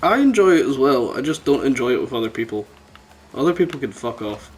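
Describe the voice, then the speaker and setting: A man's voice: mid-range voice, very animated, brisk, a little hiss or hum, low-quality recording. A man chatting casually with friends as a podcast co-host, blunt, teasing and sweary. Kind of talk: casual gaming chat with friends.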